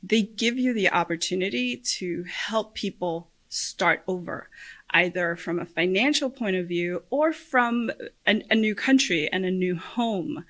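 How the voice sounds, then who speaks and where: Bright-voiced woman hosting a podcast. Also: lowish voice. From Puerto Rico. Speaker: podcast host, lawyer. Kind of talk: podcast monologue introducing herself.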